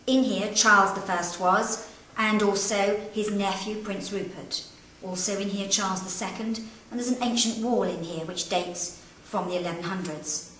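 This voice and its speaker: A woman speaking as a castle guide, telling its stories to camera in a deliberate, formal and dramatic manner. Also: medium pitch, fairly even intonation, fairly bright tone. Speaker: castle guide and historical interpreter. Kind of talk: storytelling to camera. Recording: echoey great hall, distant mic, background noise.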